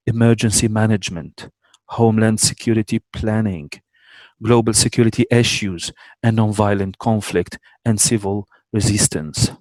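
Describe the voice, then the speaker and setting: Low-voiced man hosting an online talk. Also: darkish tone, dry recording, low-quality recording. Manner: measured, careful with names, small self-corrections. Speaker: host introducing a guest speaker. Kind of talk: reading a formal introduction. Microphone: online video call.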